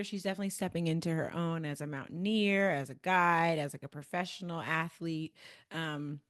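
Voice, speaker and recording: A woman's voice: mid-range voice, a bit bright. A woman with an American Midwest accent, co-hosting a podcast and casually chatting about a book, laughing often and using lots of fillers. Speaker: podcast co-host.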